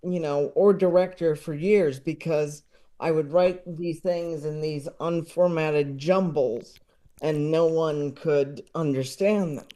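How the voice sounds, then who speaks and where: A man chatting casually as a podcast guest, talking in a halting, rambling way. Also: fairly high pitch, steady tone, dark tone. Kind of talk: casual interview chat.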